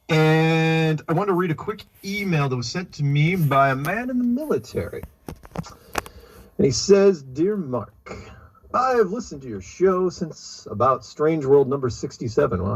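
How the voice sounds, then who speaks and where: Male talk host, husky, sardonic and meandering; dull lo-fi audio. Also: mid-range voice, darkish tone. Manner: rambling, sardonic, hesitant with many fillers. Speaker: radio show host. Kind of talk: casual talk-show monologue reading a listener email. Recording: dull lo-fi recording.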